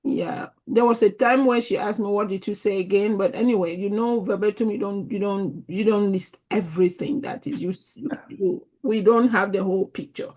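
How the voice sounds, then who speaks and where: A woman's voice: mid-range voice, normal speed, hardly any pauses, low-quality recording. A woman from Africa, a chaplain in a peer review group, who talks through her thoughts in broken, restarting phrases. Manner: warm, restarting, thinks aloud in fragments. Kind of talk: group discussion.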